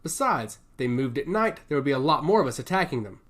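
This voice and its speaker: A man voicing an alert, dry-witted woman raider in a sci-fi story, brisk and matter-of-fact. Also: medium pitch, sing-song, a bit bright. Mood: dry, retorting.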